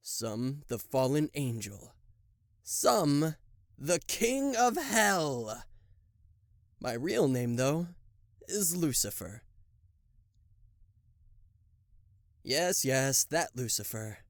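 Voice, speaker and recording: A man's voice: medium pitch, sing-song, takes it slowly, no echo, clean studio sound. A man voicing a theatrical, sarcastic demon king of hell in a roleplay audio drama, proud and showy in manner. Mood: grandly boastful. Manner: sarcastic, showy, stammering in disbelief. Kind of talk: roleplay audio drama acting addressed to the listener.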